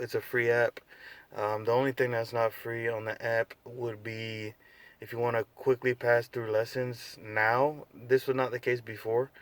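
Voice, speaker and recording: A tired young man from the United States vlogging casually, rambling with lots of fillers and restarts. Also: mid-range voice. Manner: laid-back, rambling, many fillers and restarts. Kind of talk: unscripted casual vlog.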